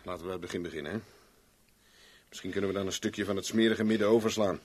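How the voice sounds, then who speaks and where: Male for a hard-nosed detective in vintage radio drama: lowish voice, commanding, coolly sardonic. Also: fast, breathy edge. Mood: stern questioning. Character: tough, relentless male private investigator, interrogating coolly. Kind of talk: 1970s Dutch radio drama acting.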